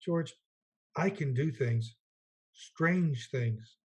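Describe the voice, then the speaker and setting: A man playing a gentle, eager, naive guardian angel in a radio play, earnest and quaintly polite, with a husky voice. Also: on the high side, expressive, very slow, full character voice. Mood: earnest, coaxing. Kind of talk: 1940s-style radio play acting.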